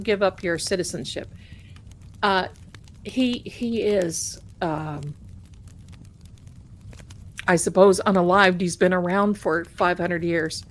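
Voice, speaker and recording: A middle-aged woman casually chatting with her live-stream viewers as a tarot reader, rambling warmly and calling them her darlings. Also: mid-range voice.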